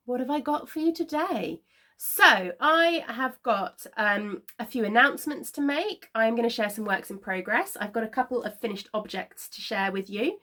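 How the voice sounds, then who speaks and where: A woman chats to camera from her living-room sofa, sounding slightly congested from a cold. Her voice sits a bit high, and she talks in a relaxed, rambling way, leaning on frequent ums and small laughs. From Scotland. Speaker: knitting podcast host. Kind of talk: casual chat to camera.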